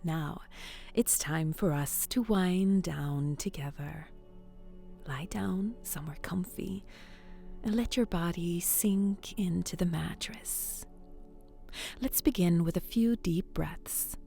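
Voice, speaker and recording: A woman hosting a bedtime story, guiding relaxation in a soft, soothing, often whispered voice. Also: on the low side, takes it slowly, vocal fry, soft background music. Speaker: storyteller and host.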